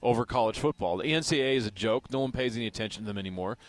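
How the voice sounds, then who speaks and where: A man's voice: on the low side, rapid-fire, dry recording. An American man co-hosting a casual sports radio show, giving his opinions bluntly.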